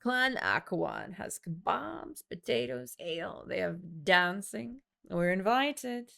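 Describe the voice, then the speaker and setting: A woman's voice: lowish voice, big pitch swings, slow, fairly bright tone, full character voice. Woman voicing a smug, foppish guildsman. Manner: smooth-talking, needling. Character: smug foppish calculating male guild officer.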